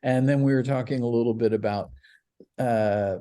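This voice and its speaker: A man's voice: medium pitch, steady tone, normal speed. A middle-aged man co-hosting a casual podcast, rambling with frequent fillers and laughs. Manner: rambling, warm, laughs between words. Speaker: podcast co-host.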